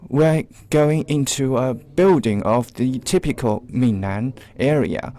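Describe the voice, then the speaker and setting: A man giving spontaneous spoken commentary on a live tour, haltingly repeating and rephrasing as he goes. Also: mid-range voice, mellow.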